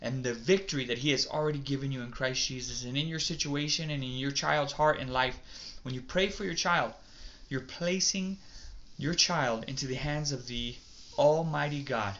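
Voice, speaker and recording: Bright-voiced man pastor preaching. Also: mid-range voice, normal speed. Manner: earnest, preacherly emphasis. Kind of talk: preaching in a talk show. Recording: dull lo-fi sound with faint noise.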